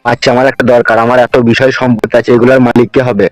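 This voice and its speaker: Man voicing a gruff, fast-talking village landowner. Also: medium pitch, little pitch movement, no room sound, soft background music, close to an everyday voice. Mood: wheedling. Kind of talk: comedy cartoon voice acting.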